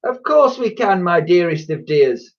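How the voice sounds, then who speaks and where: A man's voice: high voice, very animated, a little slow, far from the mic, lo-fi sound, voice pushed up. A man voicing a greedy woman ice cream seller with sugary politeness over a nasty streak, in a children's storybook reading. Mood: sugary sweet.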